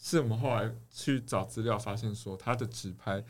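A man's voice: on the low side, moderate pace, slight background noise. Taiwanese man co-hosting with casual banter. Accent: Taiwanese Mandarin. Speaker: podcast co-host.

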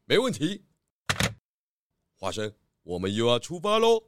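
Casting: man voicing a jovial cartoon pig sleuth, mid-range but very animated, playful and curious, for a kids' radio play. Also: mid-range voice, measured pace, a little hiss or hum. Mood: intrigued, cheerful. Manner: friendly, playful, intrigued. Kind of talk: children's audio drama acting.

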